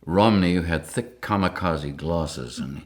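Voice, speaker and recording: Older guy, real low voice, telling stories in an interview, chuckles a lot. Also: a little slow. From Rhodesia. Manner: lively anecdotes, chuckling often. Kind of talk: storytelling interview.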